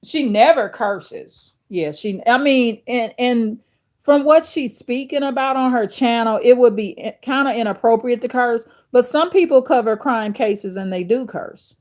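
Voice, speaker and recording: Slow, deep-voiced woman host chatting live. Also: darkish tone. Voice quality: deep authoritative voice. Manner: slow, thinking aloud with fillers and restarts; addresses viewers directly. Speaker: livestream host reading chat. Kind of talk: casual chat with live viewers.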